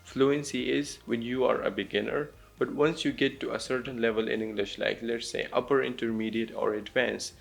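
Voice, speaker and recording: A man's voice: medium pitch, fairly even intonation, normal speed, gravelly, few pauses. A man from Pakistan with a Pakistani accent touched by American, teaching viewers in an encouraging, simple step-by-step way. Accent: Pakistani with some American influence. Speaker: language teacher and YouTuber. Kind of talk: instructional talk to viewers.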